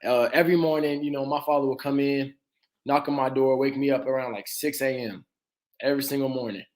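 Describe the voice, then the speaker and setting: Laid-back young dentist from Atlanta. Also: male voice, mid-range voice, brisk. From Atlanta, Georgia. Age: 20s. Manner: relaxed, laughs easily, frequent "uh" and "you know". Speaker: dentist guest. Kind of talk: casual interview talk.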